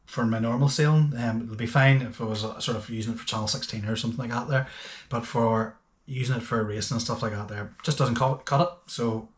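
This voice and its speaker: A man giving a casual, unscripted gadget review in a chatty, hesitant, matter-of-fact way. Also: medium pitch. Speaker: host of a boating gadget review channel. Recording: echoey, roomy sound.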